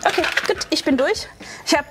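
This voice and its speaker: A woman's voice: high voice, brisk, background noise. A young woman chatting casually and playfully while shopping, with an enthusiastic manner. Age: young adult. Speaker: vlog host. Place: inside a large drugstore. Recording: background music.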